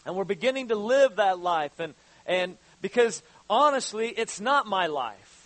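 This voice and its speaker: A man's voice: sits high, animated, a little slow, a bit bright, no echo. A man in his fifties preaching a sermon, stumbling over repeated words and then building up to fervent exhortation. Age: 50s. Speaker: pastor.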